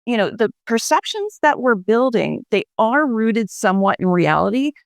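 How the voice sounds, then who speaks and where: Woman researcher, creaky voice, measured explanations, dry close sound. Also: a little high, very animated, no room sound. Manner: measured, explanatory, many "you know"s. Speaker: psychology researcher guest.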